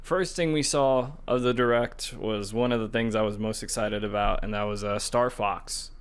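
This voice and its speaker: A man's voice: lowish voice, steady tone, moderate pace, smooth. A man co-hosting a gaming podcast, talking in loose, casual conversation.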